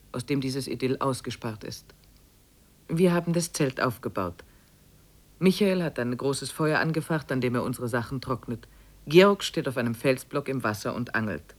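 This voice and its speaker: A measured expedition diarist, woman. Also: extremely low pitch. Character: weary woman trekker narrating her expedition diary. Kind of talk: scripted diary narration in a 1950s radio play.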